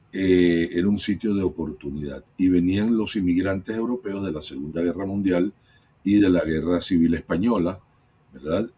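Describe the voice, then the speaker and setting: A man's voice: sits low, mellow, slight background noise. A man with a Venezuelan Spanish accent, a regular guest on a real estate podcast, explaining at length and tagging his points with rhetorical questions. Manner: expansive, lecturing with rhetorical tags. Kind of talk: explanatory conversation.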